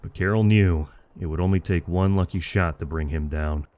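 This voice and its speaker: A man giving dramatic scripted narration of a military story in a measured, grave manner. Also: low-pitched, a little mellow.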